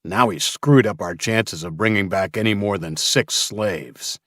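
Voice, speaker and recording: Guy reading as a curt gang boss in an audiobook, low voice, gruff n cold. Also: unhurried, a bit bright, dry recording, clean studio sound. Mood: snappish contempt. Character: curt, callous male leader of slaver crew.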